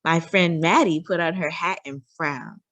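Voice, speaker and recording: A woman reading a children's picture book aloud, expressively and deliberately, with dramatic delivery. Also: low-pitched, fairly bright tone, no room sound. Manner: deliberate and dramatic, acting out dialogue. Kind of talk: expressive scripted read-aloud narration.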